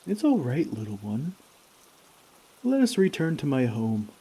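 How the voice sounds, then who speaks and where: A man playing a gentle, caring friendly giant in an ASMR comfort roleplay, with tender, old-fashioned, unhurried phrasing. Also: medium pitch, huge pitch swings, husky, heavily acted. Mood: soothing, tender.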